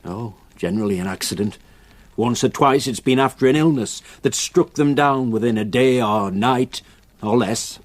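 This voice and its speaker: An actor playing a grave countryman in a radio drama, telling of a haunting slowly and deliberately. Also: mid-range voice, no echo, lightly acted. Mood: ominous. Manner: slow, deliberate storytelling with weighty pauses.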